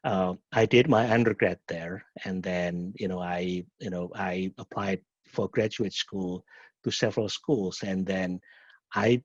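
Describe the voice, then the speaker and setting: A middle-aged man from Indonesia with an Indonesian accent and a husky voice, a professor answering questions at a webinar in a halting way, often repeating words and restarting. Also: deep voice, steady tone. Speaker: professor and program director. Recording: muffled, echoey webinar audio.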